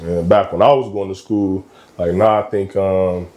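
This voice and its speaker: A very low, dark-voiced man in his thirties from Michigan chats easily as a podcast guest. He talks in a relaxed, slangy way, dropping frequent ums. The sound bounces around an echoey room. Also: moderate pace. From Michigan, USA. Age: 30s. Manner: laid-back, slangy, lots of ums. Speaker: guest, college basketball coach. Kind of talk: casual interview chat.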